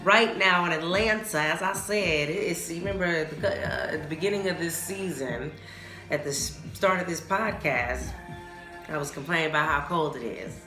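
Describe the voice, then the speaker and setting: Podcast host: American woman, low register, blunt, opinionated, emphatic and conversational; echoey room sound with a clear music bed. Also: normal speed, bright, forceful tone. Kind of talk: casual solo podcast talk. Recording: roomy echo, music behind the voice.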